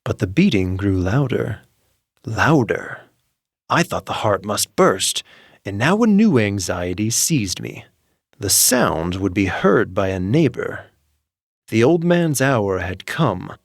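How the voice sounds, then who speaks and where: A male narrator reads a grim tale at an unhurried, deliberate pace, his fairly low voice sweeping widely in pitch. He swings from hushed murmurs to sharp, intense peaks. The sound is close and dry, with no room around it. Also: sing-song, no echo. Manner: slow, theatrical, swings from hushed murmurs to sudden intense outbursts. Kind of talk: dramatic audiobook reading for sleep.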